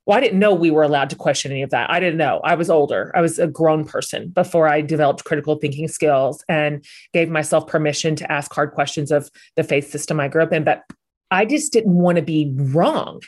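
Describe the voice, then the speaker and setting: Low-voiced woman host, thoughtful. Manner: thoughtful, measured, drawn-out emphasis. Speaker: podcast host. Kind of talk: interview conversation.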